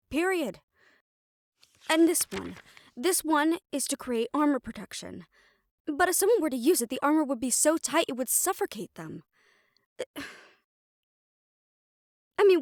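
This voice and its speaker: A woman's voice: high voice, dry recording, clean studio sound. A woman playing a stern, exasperated young siren banshee spellcaster in a roleplay audio drama, talking conversationally and emphatically. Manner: conversational, sighing, chiding, emphatic.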